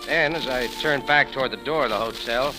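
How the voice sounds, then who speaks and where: An actor with an American accent playing a wry, tough adventurer, deadpan and wisecracking, narrating an old-time radio mystery. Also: male voice, mid-range voice, a little fast, music in the background, close to an everyday voice, rough recording. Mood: wry narration.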